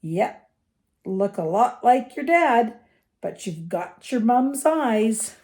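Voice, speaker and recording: A woman's voice: fairly high pitch, big pitch swings, slow pace, darkish tone. A woman voicing a hearty, friendly giant man in a children's storybook, brash and folksy. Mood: fond. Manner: boisterous, folksy, informal.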